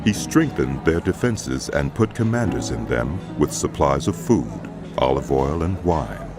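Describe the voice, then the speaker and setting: A man narrating scripture as a scripted drama, measured and solemn, with music behind his voice. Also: very deep voice, sing-song. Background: loud music.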